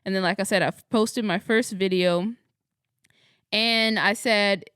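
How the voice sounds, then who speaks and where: Chatty young woman with a smooth voice. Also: medium pitch, steady tone, measured pace, fairly bright tone, dry recording, clean studio sound. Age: 20s. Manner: rambling, chatty, self-correcting with frequent laughs. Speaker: astrology vlogger. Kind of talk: casual chat to camera.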